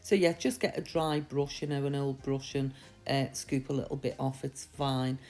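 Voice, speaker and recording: A woman with a slightly croaky voice from a mild sore throat, giving a chatty, reassuring, step-by-step tutorial. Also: a bass voice, fairly even intonation, moderate pace, darkish tone. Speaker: nail art tutorial host. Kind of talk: casual step-by-step tutorial voice-over.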